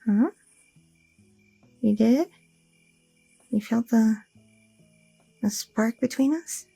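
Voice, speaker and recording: A woman voicing a sweet, anxious young goth girlfriend in an ASMR roleplay, talking softly and a little hesitantly, close to the mic. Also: a little high, very slow, gravelly, husky, no room sound, soft background music, exaggerated voice, poor audio. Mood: tender. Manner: soft, affectionate, a little hesitant, with fillers.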